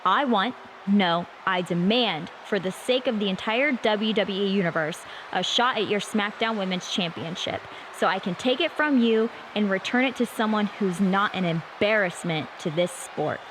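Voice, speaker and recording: A woman's voice: medium pitch, moderate pace, noisy background, close to an everyday voice. A woman playing an indignant pro wrestler who hosts an interview segment, speaking with accusatory emphasis in a scripted promo. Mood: angry, demanding. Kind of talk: scripted sports-entertainment promo.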